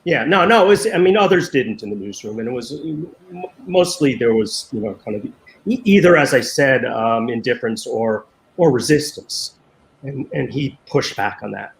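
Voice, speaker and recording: A man's voice: medium pitch, animated, normal speed, gravelly, echoey room, rough recording. An older man, a former newspaper design director, answering interview questions in a hesitant way full of ums and restarts over a video call.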